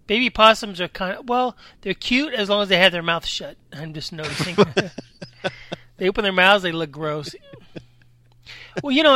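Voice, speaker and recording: A man in a casual podcast chat with a slightly raspy voice, talking loosely and jumping between topics. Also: sits high, bright, forceful tone, no echo. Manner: chatty, jumps between topics. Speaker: podcast co-host. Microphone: home microphone.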